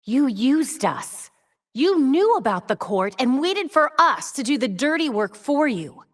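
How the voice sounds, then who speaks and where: A woman's voice: high-pitched, sing-song, measured pace, dry recording, heavily acted. Young american vigilante girl, quippy and determined, video game lines, really dry recording. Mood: serious, firm. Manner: wry, quippy delivery. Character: young female vigilante heroine, sharp, wry, determined.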